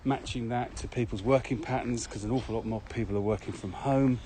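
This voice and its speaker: A man's voice: mid-range voice, steady tone, brisk, mellow. A man co-hosting a podcast, explaining things in casual conversation while walking outdoors. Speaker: podcast co-host and virtual training consultant. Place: outdoors on a walk. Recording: very noisy outdoor recording, clicks and traffic.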